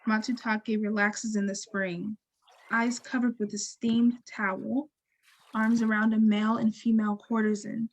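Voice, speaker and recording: A woman narrating stage directions from a script in a measured, neutral, descriptive way. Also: fairly high pitch, flat delivery, rough recording.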